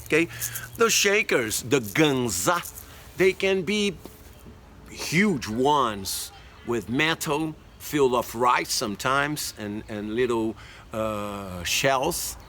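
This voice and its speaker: Slow-spoken man teaching percussion. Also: mid-range voice, animated, sharp tone, a little hiss or hum. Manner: slow, explanatory, counting beats aloud. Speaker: music teacher. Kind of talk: demonstrating percussion outdoors. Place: outdoors by a tree.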